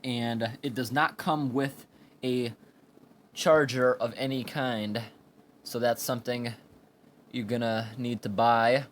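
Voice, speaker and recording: A man giving an informal hands-on product review in a plain, explanatory way with halting phrases, recorded indoors on a handheld camera. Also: medium pitch, fairly even intonation. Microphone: handheld camera or phone microphone. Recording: amateur recording with background room noise.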